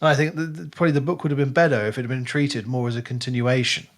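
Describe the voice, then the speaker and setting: A man speaks at a middling pitch as a podcast co-host. He is opinionated and emphatic, doubling up on words to press his point in an informal discussion. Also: fairly even intonation, very fast.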